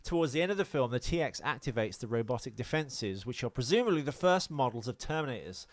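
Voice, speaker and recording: A man's voice: mid-range voice, expressive, fast, no echo. A man co-hosting a podcast in casual film chat, rambling and jokey as he goes through his notes. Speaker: podcast co-host.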